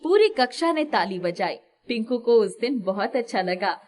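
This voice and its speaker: Female storyteller: raised pitch, lively swings, expressive reading of children's folk tales. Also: moderate pace. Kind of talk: scripted children's story narration.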